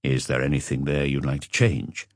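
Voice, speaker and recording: A man voicing a calm, probing police detective who questions blandly and with measured delivery in an audiobook. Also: very deep voice, no echo, clean studio sound, pitched below the performer's own voice.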